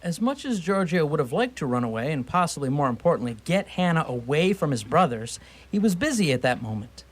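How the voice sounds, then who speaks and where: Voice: mid-range voice, big pitch swings, brisk, husky. A narrator reading scripted storytelling for a radio theatre play, with wry dramatic timing.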